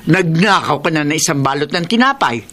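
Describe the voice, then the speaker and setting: A woman's voice: low voice, lively intonation, brisk, a bit bright, a little hiss or hum. A woman with a Filipino accent playing a mocking, gossipy bread seller in a radio drama. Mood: scornful, goading. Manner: taunting, exclamatory.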